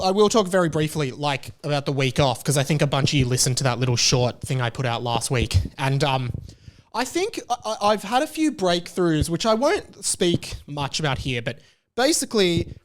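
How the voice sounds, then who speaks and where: A man hosting his own podcast, talking casually in a rambling, candid way and often stopping to restart his sentences. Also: on the high side. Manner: rambling, self-interrupting, candid. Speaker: podcast host. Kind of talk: casual solo podcast chat.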